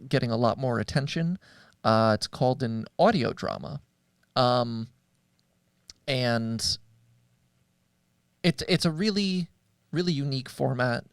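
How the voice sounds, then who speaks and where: A man hosting a podcast in a casual, conversational way, with halting, hesitant phrasing. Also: medium pitch, gravelly, clear, resonant tone, no echo, clean studio sound. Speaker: podcast host.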